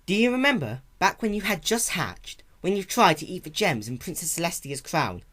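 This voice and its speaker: A performer voicing a caring female pony in a cartoon-based story reading, soft, patient and reassuring like a big sister. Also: medium pitch, sing-song, moderate pace, voice pushed up.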